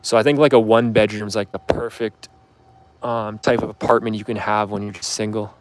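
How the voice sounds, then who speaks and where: A man's voice: lowish voice, level tone, a little fast. A man in his twenties chatting casually on a livestream, answering viewer questions in a rambling, offhand way full of fillers. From Canada. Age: 20s. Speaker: livestream host.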